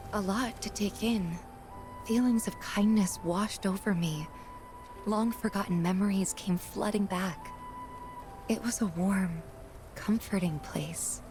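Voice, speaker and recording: A woman playing an earnest, gentle, hesitant young heroine in a video game cutscene, over music. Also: medium pitch, close to an everyday voice. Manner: halting, earnest.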